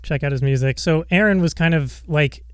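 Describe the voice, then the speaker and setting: A man's voice: a little high, brisk, smooth, dry recording, studio quality. A young man hosting a casual podcast interview, rambling with fillers and restarts. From Alaska, raised in Seattle. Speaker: podcast host.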